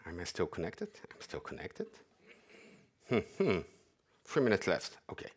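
A man's voice: sits low, huge pitch swings, normal speed, breathy edge. A man in his forties with a French accent, giving a chatty, self-mocking live demo talk on a conference stage. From half French, half Dutch. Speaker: conference speaker, developer advocate. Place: large conference hall stage.

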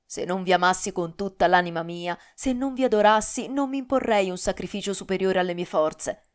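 A woman's voice: mid-range voice, fairly quick, smooth, close to an everyday voice. A woman voicing a young nobleman in love, speaking earnestly and tenderly with solemn restraint. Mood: pleading. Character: young nobleman, tormented lover, earnest and dutiful.

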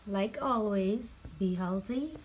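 A mother chatting casually off camera while showing her grocery haul, slow and full of ums. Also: mid-range voice, slight background noise. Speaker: vlogger, mother. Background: crinkling packaging and clicks.

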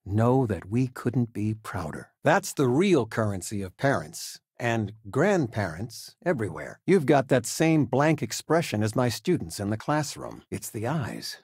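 Retired teacher dad for a game: older man, lively intonation, husky, fond and gently joking, dry close sound. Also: mid-range voice, unhurried, hardly any pauses, no echo, heavily acted. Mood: fond, easygoing. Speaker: voice actor. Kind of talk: video game character dialogue.

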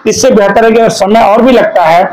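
A man's voice: high voice, fairly quick, a little mellow. A man in his thirties questions students one by one, repeating their answers back in short replies. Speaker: institute staff interviewing students. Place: crowded classroom, indoors. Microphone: handheld mic. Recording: noisy room full of students.

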